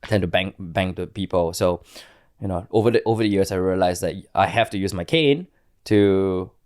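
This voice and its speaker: A man's voice: deep voice, moderate pace, a little mellow. A man in his late thirties with a Singaporean accent, speaking candidly as an interview guest and often searching for his words.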